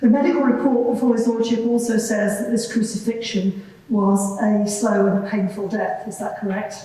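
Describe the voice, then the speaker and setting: A woman's voice: medium pitch, fairly even intonation, normal speed, dark tone, close to an everyday voice. A woman playing a composed cross-examining lawyer, putting formal, pointed questions in a courtroom. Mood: probing. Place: large reverberant courtroom. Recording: very echoey hall, distant mic.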